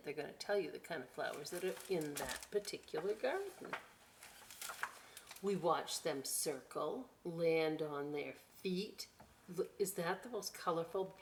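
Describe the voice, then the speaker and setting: A woman hosting a storytime for young children, reading expressively with drawn-out words and chatty, encouraging asides. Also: deep voice, a little hiss or hum. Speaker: children's library storytime host.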